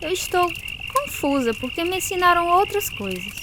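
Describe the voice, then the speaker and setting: A woman's voice: very high voice, big pitch swings, moderate pace, noisy background. A woman playing a woman recounting her youth in scripted radio drama narration. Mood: hesitant. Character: woman recounting her troubled youth, also as earnest teenager.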